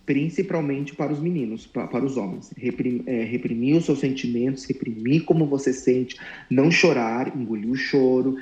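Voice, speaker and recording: Earnest thirties man, mellow tone, reflective and halting, echoey room. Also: medium pitch, muffled recording. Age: 30s. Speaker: guest, former client. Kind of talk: candid interview talk. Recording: roomy echo.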